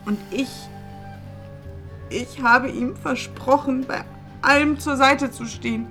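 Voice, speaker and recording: A woman's voice: high-pitched, steady tone, slow, fairly bright tone, soft background music. An actress playing a grieving young woman, loyal confidante to a dead friend, in a screen drama. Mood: sad, earnest. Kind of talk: dramatic film dialogue.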